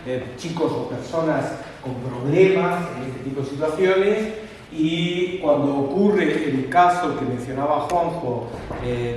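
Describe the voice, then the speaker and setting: A man with an Argentine Spanish accent giving a conversational public lecture, halting now and then with fillers and restarts. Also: fairly high pitch. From Catalonia, Spain. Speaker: guest speaker. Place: lecture hall. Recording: echoey hall from far off with faint background noise.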